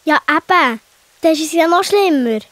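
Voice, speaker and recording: A woman's voice: high voice, expressive, moderate pace, fairly bright tone, no echo. A woman playing an impulsive young girl in a children's audio play. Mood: anxious.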